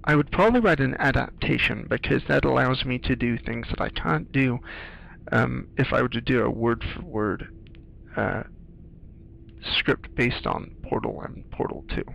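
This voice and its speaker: A man giving casual playthrough commentary, explaining things in a halting, thoughtful way with game audio behind him. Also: mid-range voice, gravelly, a bit bright, lo-fi sound. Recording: faint noise, game sounds behind. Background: video game audio.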